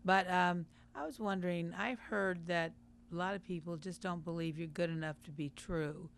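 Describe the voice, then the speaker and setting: A woman calling in to a talk show with a husky voice, hesitant and full of ums. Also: normal speed, slight background noise. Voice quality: husky, low-sounding voice. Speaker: caller. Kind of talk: call-in question.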